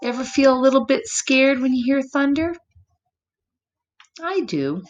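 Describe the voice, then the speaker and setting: Woman storyteller for little kids, unhurried and highly animated, gently expressive, speaking straight to the children. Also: muffled recording, faint music behind. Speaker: children's story reader, teacher. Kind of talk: read-aloud storytime for young children, with chatty asides. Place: room at home.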